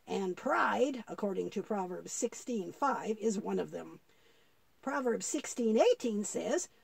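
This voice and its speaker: A woman plays a kindly old grandpa in a children's puppet show. The voice is high for an old man and moves up and down in a lively way. Each lesson is laid out slowly, patiently and a little preachily. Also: creaky, slightly rough, no echo, heavily acted. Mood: earnest teaching. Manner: measured, explanatory, quotes scripture.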